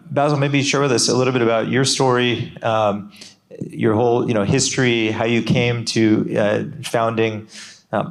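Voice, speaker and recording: A man moderating a panel discussion on stage, speaking in a relaxed, conversational way with frequent fillers. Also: mid-range voice.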